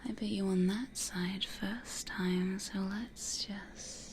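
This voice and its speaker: A woman playing a weary, wary vampire in a hushed, dryly grumbling ASMR roleplay whisper. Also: medium pitch, fairly even intonation, takes it slowly, noisy background. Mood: pleased, seductive. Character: weary, wary female vampire with charm powers. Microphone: close studio condenser or binaural mic.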